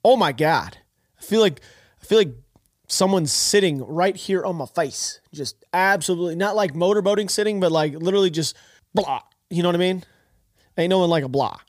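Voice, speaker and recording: A man's voice: high voice, moderate pace, no echo, studio quality. A man hosting a podcast, sounding nasal and stuffed up from allergies, talking through the news in a rambling, opinionated way with many restarts. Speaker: podcast host. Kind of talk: casual political commentary.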